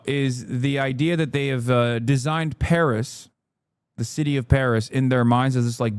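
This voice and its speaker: A man in his thirties hosting a live stream, speaking fast with sarcastic, mocking commentary. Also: medium pitch, clean tone, no echo, clean studio sound. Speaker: streamer host. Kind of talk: casual reaction-stream commentary.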